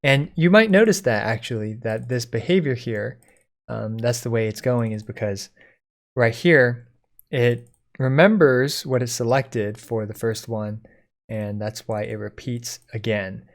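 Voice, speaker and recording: A man giving a casual software code-along, thinking aloud informally as he types. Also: mid-range voice, measured pace. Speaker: software tutorial instructor.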